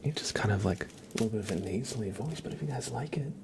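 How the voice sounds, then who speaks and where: A man softly whispering in an unhurried way as an ASMR streamer chatting to his viewers. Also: on the low side, expressive, noisy background. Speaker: ASMR live streamer, host.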